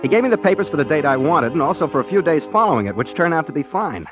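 An actor playing an earnest, daydreaming rookie private detective, boyish and wryly self-deprecating, in 1940s radio style. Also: male voice, on the high side, very animated, racing. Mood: wry, musing. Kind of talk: 1940s radio acting with first-person narration. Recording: dull vintage 1940s radio, music behind.

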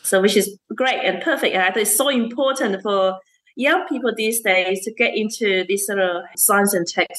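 A woman's voice: mid-range voice, normal speed, a bit bright, low-quality recording. A woman, a teacher answering interview questions in a hesitant way, with many fillers and restarts and frequent laughs. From Malaysia. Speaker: STEM teacher and councillor.

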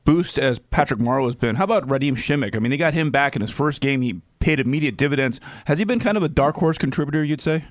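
A man's voice: mid-range voice, animated, fast, few pauses, dry recording, studio quality. A man working as a sports reporter, putting questions to a guest in an interview.

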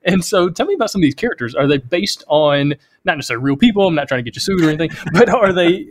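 A man hosts an interview podcast and talks quickly in a slightly high voice. He asks his questions with eager, encouraging enthusiasm. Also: lively intonation, slight background noise. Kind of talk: casual interview chat.

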